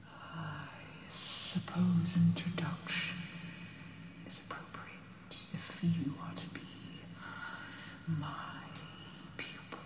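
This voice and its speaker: Woman as a slow, hushed, cavernous dragon mentor. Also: sits low, level tone, in a whisper. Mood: stern but kind. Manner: hushed, breathy, formal and deliberate. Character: gentle, scholarly female dragon, proud and measured mentor. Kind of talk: ASMR fantasy roleplay. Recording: cavernous reverb, distant, noisy ambience.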